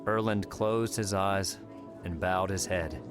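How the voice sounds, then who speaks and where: Male audiobook narrator, lowish pitch, scripted storytelling over faint music. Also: fairly even intonation, slow.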